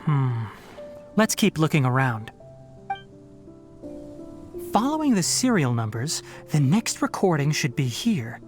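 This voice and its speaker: Scholarly young researcher, animated pitch. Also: male voice, takes it slowly, long pauses, faint music behind. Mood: pensive. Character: composed, scholarly young male forest researcher, matter-of-fact. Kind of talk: video game character acting.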